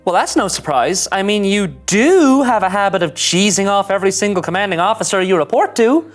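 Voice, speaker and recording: A woman voicing an anxious, rule-following young male starship ensign in a scripted animated sci-fi read, fretful and earnest. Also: medium pitch, fairly quick, lightly acted. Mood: exasperated. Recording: music under the voice.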